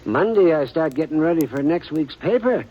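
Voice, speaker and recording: A man's voice: on the high side, animated, normal speed, muffled recording, background noise, lightly acted. A man playing a gabby old printer. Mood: gossipy. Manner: folksy, gabby drawl. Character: chatty old male printer, gossipy. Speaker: small-town newspaper printer. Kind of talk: 1950s radio drama acting.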